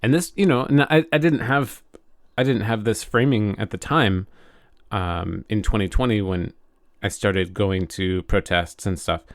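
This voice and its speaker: A man's voice: lowish voice, unhurried, no echo, clean studio sound. An American man co-hosting a podcast in a casual chat, speaking frankly with the odd swear word. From United States. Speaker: podcast co-host.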